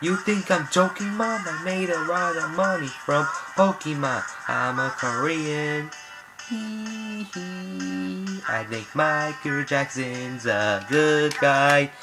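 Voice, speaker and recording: A young man in his late teens sings along at an unhurried pace to a comic song, chanting its repeated lines in a casual, amateur way. He records himself on a laptop webcam in a small bedroom. A loud backing track plays under his voice. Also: a little high. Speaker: amateur singer. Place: small bedroom with bright yellow walls. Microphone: laptop webcam at close range. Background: loud backing music.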